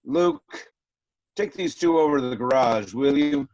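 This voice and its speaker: A man playing a gruff, curt farmer uncle with a brusque, commanding manner in a script read. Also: medium pitch, expressive, a little slow, darkish tone, no room sound, low-quality recording. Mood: bossy. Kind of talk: virtual script table read.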